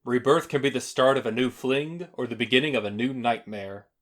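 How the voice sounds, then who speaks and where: A man's voice: medium pitch, fairly even intonation, fairly quick. A man acts as host, reading a scripted introduction in announcer style. His voice sits in the middle of the male range, and he presents each item to the listeners in turn. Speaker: festival host.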